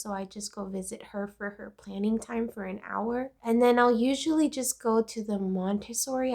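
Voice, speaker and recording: An American woman talks in a chatty, informal way, as if catching up with her followers. Her voice is stuffed up from a cold. She sounds like a vlogger casually walking viewers through her working life. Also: mid-range voice. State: congested from a cold. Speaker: vlogger, pre-K instructional coach. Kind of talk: casual vlog update.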